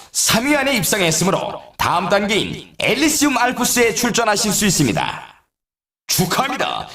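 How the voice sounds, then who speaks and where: A man playing an excitable snowboard race announcer in a video game, with emphatic, formal broadcast delivery. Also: high voice, roomy sound, exaggerated voice. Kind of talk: scripted video game sports commentary.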